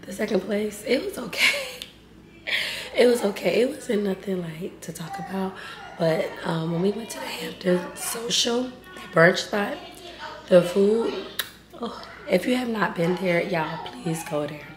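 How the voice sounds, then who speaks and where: A woman's voice: medium pitch, animated, unhurried. A young woman who has lost most of her voice, chatting casually to her phone camera at arm's length while vlogging her day. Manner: chatty, talks straight to the camera. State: hoarse, losing her voice. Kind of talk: casual vlog chat. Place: shops, restaurants and home, indoors and out. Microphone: handheld phone at arm's length.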